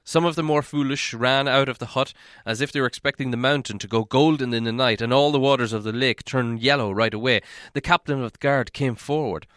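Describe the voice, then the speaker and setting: A man reading a fantasy story as an audiobook narrator, dramatic and expressive. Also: medium pitch, a little fast, no echo, studio quality.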